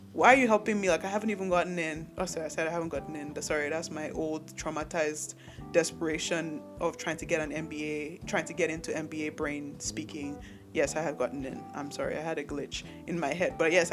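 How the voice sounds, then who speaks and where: A young man from Nigeria hosting a solo podcast, talking in a rambling, candid way, often restarting his sentences and laughing. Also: on the high side. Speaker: podcast host.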